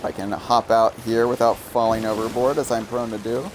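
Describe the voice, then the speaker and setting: Male streamer, medium pitch, casual co-host who reads in-game text aloud, slight ambient noise behind. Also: flat delivery, normal speed, low-quality recording.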